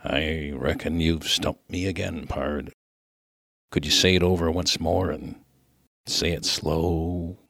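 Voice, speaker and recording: A man voicing a rough, good-natured Old West miner with a rustic American accent and a slangy, emphatic way of talking in a humorous story. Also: a bass voice, measured pace, fairly bright tone, no echo, clean studio sound, exaggerated voice. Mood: puzzled. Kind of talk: dramatic reading of a humorous story.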